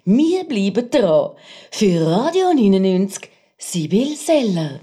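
A woman's voice: medium pitch, big pitch swings, slow, warm tone, breathy edge, heavily acted. A woman playing a conceited, overeager crime reporter, delivering an ominous, sensational radio report with a Swiss German accent. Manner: melodramatic, ominous.

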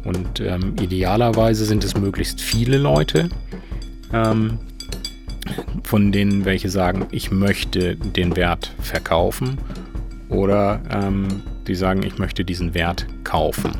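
Low, gravelly man explaining over music. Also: slow. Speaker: expert explainer. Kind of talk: careful, hesitant definition-giving.

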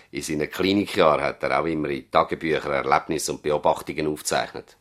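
An actor playing a courteous older country doctor in a radio play, speaking formally with a Swiss German accent. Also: male voice, extremely low pitch, steady tone, fairly quick, fairly bright tone. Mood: collegial, reminiscing. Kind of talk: 1970s radio drama dialogue.

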